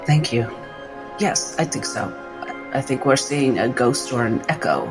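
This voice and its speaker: A man playing a composed, formal female doctor in a tabletop game, hesitant, halting and softly stammering. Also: medium pitch, lively intonation, over music, natural voice. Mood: flustered.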